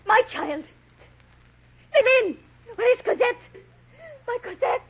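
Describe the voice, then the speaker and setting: A woman's voice: extremely high pitch, expressive, unhurried, exaggerated voice. An actress playing a feverish, dying young mother pining for her child, fragile and pleading, in a melodramatic 1930s radio drama. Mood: frantic despair. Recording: dull noisy vintage radio recording.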